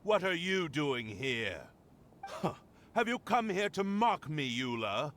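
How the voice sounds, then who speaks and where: An actor voicing a pompous, cowardly aristocrat in a video game, haughty and formal with flustered stammering. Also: male voice, on the high side, big pitch swings, slow, fairly bright tone, a little hiss or hum, full character voice. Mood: indignant, haughty. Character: pompous, cowardly aristocrat man, proud and helpless.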